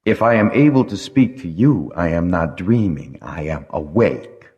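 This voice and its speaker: A man's voice: deep voice, animated, a little slow, in a large hall, dull audio. A man voicing a blunt, grumpy but loyal male dragon in an audiobook story. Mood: insistent. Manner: blunt, displeased.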